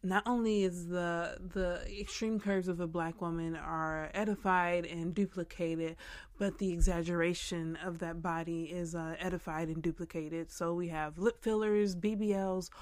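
A young American woman hosting a podcast, speaking softly with many ums and restarts in a casual interview chat. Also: lowish voice. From Michigan, USA. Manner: soft-spoken, with frequent ums and restarts. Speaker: podcast host.

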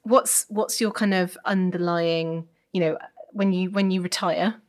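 A woman hosts a relaxed interview podcast. Her voice is a little low for a woman. She asks her questions hesitantly and often restarts them midway. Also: unhurried. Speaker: podcast host. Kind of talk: casual interview chat.

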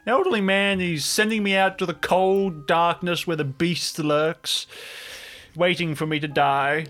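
A man's voice: high voice, fairly even intonation, measured pace, fairly bright tone. A man voicing a gossipy, cantankerous elderly man with a theatrical, grumbling manner in an improvised audio drama. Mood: indignant, conspiratorial.

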